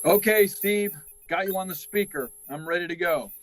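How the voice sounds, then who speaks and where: A man's voice: high-pitched, moderate pace, dry recording, soft background music, close to an everyday voice. A man playing an anxious, commanding flight controller with an urgent manner, in radio drama style. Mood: businesslike.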